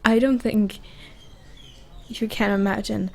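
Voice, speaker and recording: Girl doing a nervous young woman confessing to her crush, asmr roleplay, real slow n halting, creaky voice. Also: medium pitch, fairly even intonation. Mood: torn, yearning. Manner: halting, hesitant, repeating words, breathy pauses and sighs.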